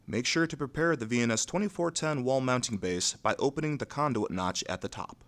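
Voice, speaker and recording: A man reads scripted instructional narration in a measured, neutral, methodical way, going step by step. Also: medium pitch, fairly bright tone.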